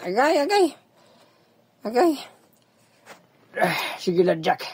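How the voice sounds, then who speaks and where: A woman's voice: mid-range voice, very animated, slow pace, creaky, a little mellow, slightly rough, pitched below the performer's own voice, heavily acted. A woman voicing a character groaning and complaining of pain in an amateur audio drama. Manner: groaning, pained.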